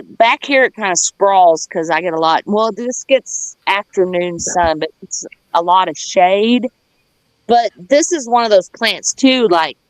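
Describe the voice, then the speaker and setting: A woman's voice: fairly low pitch, no echo, rough recording. A woman with a Southern US accent chatting casually in a folksy, plain-spoken way as a gardener on a livestream. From United States. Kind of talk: casual rambling chat.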